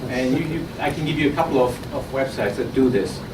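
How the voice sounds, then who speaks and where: A man with an Austrian accent pitching his startup live, chatty and loose, tripping over and repeating words as he goes. He sounds set back from the mic in a roomy, echoing space. A steady hiss of air and room noise sits under his voice. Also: a little fast. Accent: Austrian German. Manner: conversational with frequent restarts and repeated words. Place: conference room at an innovation event. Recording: roomy, distant, noisy audience recording.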